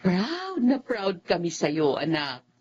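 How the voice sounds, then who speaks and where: A woman's voice: on the low side, wildly swooping pitch, slow pace, a little mellow, slightly rough, heavily acted. A woman playing an affectionate, proud mother in a Filipino radio drama. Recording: muffled radio-drama audio, faint noise.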